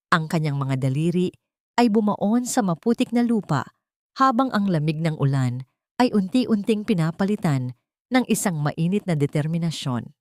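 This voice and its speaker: Low-voiced woman narrating drama, dry sound. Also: darkish tone, no room sound.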